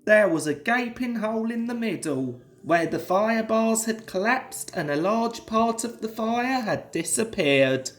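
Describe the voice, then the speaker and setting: A person reading a children's story aloud in a deliberate, dramatic storytelling style. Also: medium pitch, expressive. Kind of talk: expressive children's story narration.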